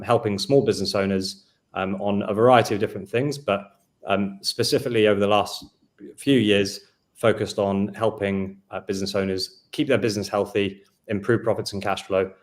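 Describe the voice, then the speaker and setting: A man in his thirties hosting a business webinar over a video call, speaking in a measured way with frequent fillers. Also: on the low side. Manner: measured presenter, hedging with fillers. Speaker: webinar host.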